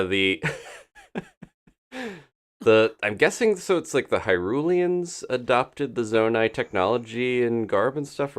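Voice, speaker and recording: A man giving casual gameplay commentary as a streamer, rambling as he thinks aloud. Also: low voice. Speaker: game streamer.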